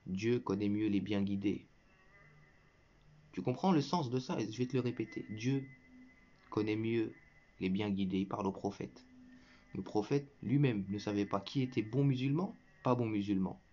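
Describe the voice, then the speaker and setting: A man with a fairly low voice delivers a heated religious rant straight at an opponent, scolding and mocking by turns. His tone is lecturing and scornful, with bursts of derisive laughter. The recording sounds dull and lo-fi. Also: moderate pace, dark tone, a little hiss or hum. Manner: scornful, lecturing, addresses listener directly as "tu". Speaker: online religious commentator. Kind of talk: combative reaction monologue to viewers. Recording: muffled lo-fi recording.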